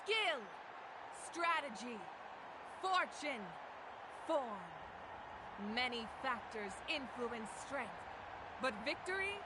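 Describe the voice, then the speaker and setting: Slow, commanding warrior goddess. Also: female voice, high-pitched, background noise. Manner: deliberate, oratorical. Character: commanding female ruler deity, poised, solemn, confident. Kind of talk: scripted ceremonial speech in a game.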